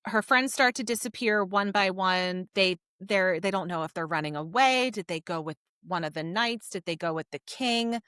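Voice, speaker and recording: A woman retells a story as a podcast co-host. Her voice is bright and forward, recorded dry. She is informal and chatty, leaning on key words as she goes. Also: mid-range voice, moderate pace, hardly any pauses, smooth, no room sound. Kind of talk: casual book discussion recapping a story.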